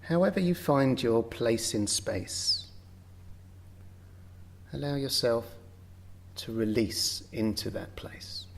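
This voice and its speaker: A man leading a guided yoga nidra meditation, speaking gently in short phrases with long, unhurried pauses. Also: medium pitch, expressive, gravelly, a little hiss or hum. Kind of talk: guided meditation, slow spoken relaxation instruction.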